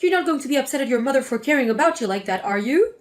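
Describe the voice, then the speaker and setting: A woman voicing a spiteful older mother-in-law who lectures and sneers, with a lowered voice. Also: lively intonation, very fast, soft background music. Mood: self-justifying. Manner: scornful, lecturing.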